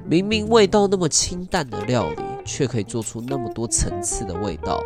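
A man plays a smug, indifferent gourmet businessman in an audio drama. His delivery is pompous and theatrical, with highly animated pitch, over music. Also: fairly quick, natural voice. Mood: gloating, boastful. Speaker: actor and first-person narrator.

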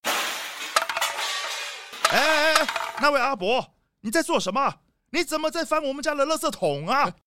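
A man's high, swooping voice a stingy, self-important father in a children's radio play. He blusters and grumbles with suspicion, over background music. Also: very animated, moderate pace, long pauses. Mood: suspicious, huffy. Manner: blustering, complaining. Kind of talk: children's audio drama acting.

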